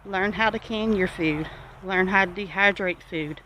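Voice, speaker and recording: A homesteading channel host gives viewers advice in an earnest, exhorting and deliberate way. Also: medium pitch, pushed and bright, slight background noise, poor audio.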